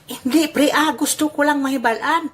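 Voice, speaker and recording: A woman's voice: high-pitched, normal speed, lightly acted. A woman voicing a cheerful, laughing old grandfather in an amateur audio drama. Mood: jolly. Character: jolly old man, Lolo Albeno, money-minded.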